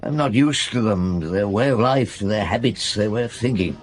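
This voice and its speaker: An actor playing a brooding, probing middle-aged police chief inspector in a radio drama, with pensive hums and pauses. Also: male voice, on the low side, natural voice. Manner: ruminative, questioning, with hums and pauses.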